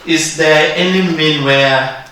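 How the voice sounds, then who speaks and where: A man asking a halting question from the audience at a workshop. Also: fairly high pitch, sharp tone. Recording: echoey room, distant mic, faint noise.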